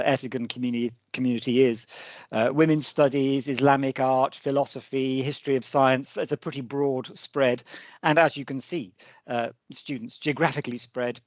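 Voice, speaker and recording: A middle-aged man hosts a webinar over a video call, genial and given to light jokes. His voice sits at an ordinary pitch. It sounds dry and close, with no room around it. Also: dry recording. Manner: genial, lightly joking, with frequent restarts. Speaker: webinar host, senior scholar. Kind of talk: hosting a live webinar.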